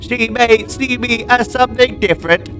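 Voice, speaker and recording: A woman's voice: fairly high pitch, moderate pace, fairly bright tone, faint music behind, close to an everyday voice. A woman playing a loyal, half-converted cyborg soldier in a sci-fi audio drama, speaking in a stilted, solemn way. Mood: firm, solemn.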